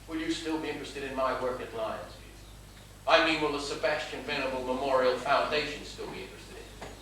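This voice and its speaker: A man on stage playing an earnest, conscientious doctor, speaking formally in a careful, halting way. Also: mid-range voice, a bit bright, lightly acted. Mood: uneasy, probing. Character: earnest, conscientious male doctor, hesitant and troubled. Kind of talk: stage play acting. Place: small theatre stage. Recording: very echoey theatre, distant mic, noisy.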